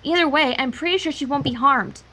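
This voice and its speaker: A woman's voice: high voice, a little fast, sharp tone, low-quality recording. A woman voicing a brainy, resolute little female toy pony in an amateur fan fiction reading. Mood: analytical, reassuring.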